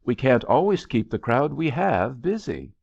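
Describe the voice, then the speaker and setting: Man voicing a cynical, weary senior architect, lively-pitched. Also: measured pace, creaky, no echo, exaggerated voice. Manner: cool, calculating, grudging. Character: cynical, weary junior partner of an architecture firm. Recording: slightly dull, dry lo-fi recording.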